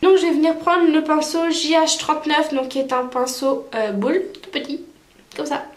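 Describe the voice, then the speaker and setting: A woman's voice: high-pitched, fairly even intonation, normal speed, roomy sound. A woman vlogger with a cold, chatting casually through a makeup tutorial with many fillers. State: stuffy with a cold. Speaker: beauty vlogger.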